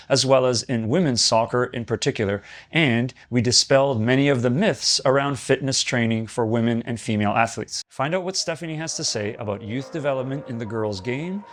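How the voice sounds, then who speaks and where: A man's voice: mid-range voice, a bit bright. A man hosting an interview podcast, speaking in a friendly, hesitant way with many ums and restarts. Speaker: podcast host.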